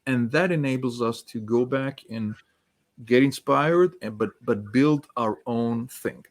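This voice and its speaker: Guy from france, french native, calm guest laying out his points step by step. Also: mid-range voice. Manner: measured, structured, poses questions. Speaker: author and guest. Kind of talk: interview answers.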